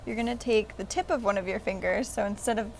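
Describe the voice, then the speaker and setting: Gravelly-voiced woman leading a kids' craft. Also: medium pitch, a little hiss or hum. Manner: friendly, explains slowly and simply. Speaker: librarian leading a children's craft. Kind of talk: step-by-step craft instructions.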